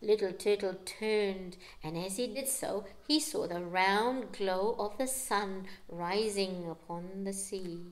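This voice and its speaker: A woman's voice: mid-range voice, slow pace, hardly any pauses, poor audio. A middle-aged woman reading a picture book aloud to young children at home, with dramatic delivery and explanatory asides. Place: living room at home.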